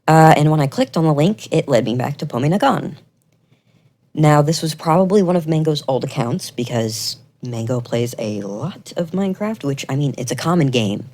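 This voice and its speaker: This is a video creator with a voice of middling pitch. The delivery is semi-scripted commentary, earnest and pointed. Key words get firm emphasis, and the tone is accusatory. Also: dark tone.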